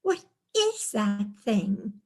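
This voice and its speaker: A woman playing an easily appalled city wife on a country trip in a comic play reading, expressive and reproachful. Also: medium pitch, extremely slow, slightly rough, full character voice. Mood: impatient.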